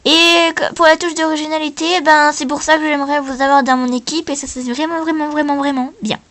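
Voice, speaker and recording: A female performer playing presenter and coaches in an improvised talent-show parody, speaking in a hesitant, halting way. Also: high-pitched, fairly even intonation, fairly bright tone, a little hiss or hum. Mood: hesitant praise.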